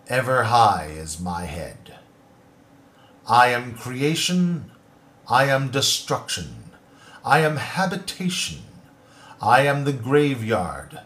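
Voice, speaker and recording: A man giving a dramatic poetry recitation in a declamatory voice, moving between loud proclamations and hushed lines. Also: mid-range voice.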